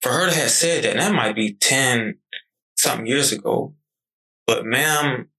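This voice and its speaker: A man's voice: medium pitch, a little slow, sharp tone, rough recording. A young man in his twenties talking casually and animatedly on a podcast, swearing freely as he tells stories. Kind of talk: casual conversation.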